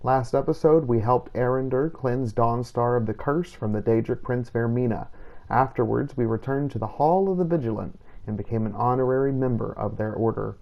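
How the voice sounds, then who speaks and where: A man's voice: medium pitch, moderate pace, gravelly, muffled recording. A man hosting a roleplay game series, narrating in a scripted, first-person journal style. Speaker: gameplay series host and narrator.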